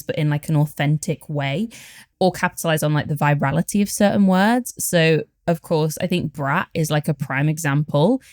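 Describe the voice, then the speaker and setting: A woman on a podcast as a guest, talking in a relaxed, candid, reflective way. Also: low-pitched, no echo, studio quality. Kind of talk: casual chat.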